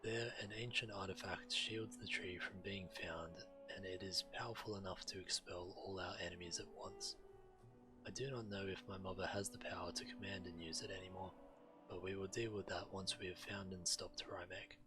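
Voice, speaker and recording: A solemn male dragon commander as acted by a man in a fantasy video game. He speaks very low, earnest and measured but urgent, rallying allies over a clear musical score. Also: fairly even intonation, over music, lightly acted. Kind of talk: dramatic video game dialogue.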